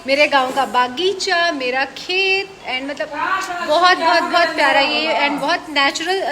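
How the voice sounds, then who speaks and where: A young woman vlogger from Bihar, India, narrating her vlog in a chatty, enthusiastic voice-over and mixing in English phrases. Her voice sits a little high. A faint hiss runs under the recording.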